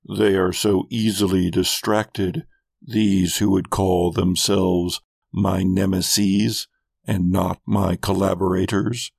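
A man's voice: low-pitched, little pitch movement, takes it slowly, gravelly, a little mellow, husky, dry recording, pitched below the performer's own voice, full character voice. A man voicing a scheming, contemptuous immortal villain in a grandiose, brooding monologue for a fiction podcast. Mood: disdainful, brooding.

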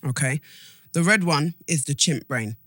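A woman's voice: low-pitched, unhurried, fairly bright tone, no echo, clean studio sound. A woman co-hosting a podcast, explaining things informally and emphatically, repeating phrases and checking that listeners follow. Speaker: podcast co-host. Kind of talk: casual explanatory chat.

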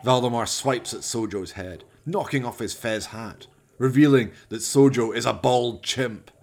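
A man's voice: mid-range voice, a little slow, vocal fry. A man narrating a comic horror radio play as scripted storytelling, in a melodramatic, mock-suspenseful manner.

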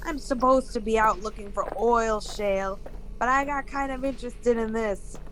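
An actress playing a plain-spoken, puzzled field geologist in a radio science fiction drama, blunt and informal. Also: female voice, on the high side, moderate pace, fairly bright tone, natural voice. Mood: intrigued. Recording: noisy old radio recording.

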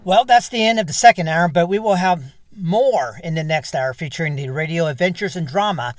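A man's voice: a little high, brisk, no room sound. A man hosting a radio program, delivering a scripted announcement.

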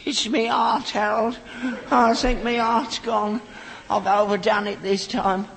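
A man's voice: high-pitched, flat delivery, slow, vocal fry, fairly bright tone, exaggerated voice. An actor playing a crotchety old London rag-and-bone man in a British sitcom, a whining, melodramatic father. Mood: feigned agony. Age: older. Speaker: actor in a comedy. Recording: reverberant, noisy old sitcom recording.

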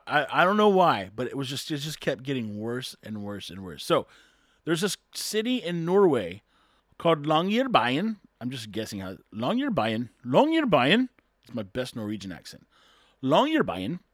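A man's voice: medium pitch, no room sound, clean studio sound. A man talking casually on his own and reading an article aloud, chuckling often, rambling and tripping over words.

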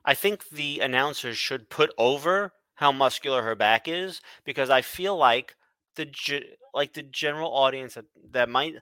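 A man's voice: mid-range voice, fairly bright tone, smooth, no room sound. A congested man co-hosting a casual podcast, laid-back, correcting his partner and adding short remarks. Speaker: podcast co-host. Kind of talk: casual chat.

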